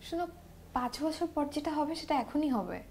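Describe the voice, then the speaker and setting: A woman's voice: sits high, lively intonation, fairly quick, vocal fry, a little mellow, a little hiss or hum. An actress playing an insistent young woman in love with a married man in a naturalistic TV drama, mixing Bengali and English. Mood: frustrated. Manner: switches between Bengali and English.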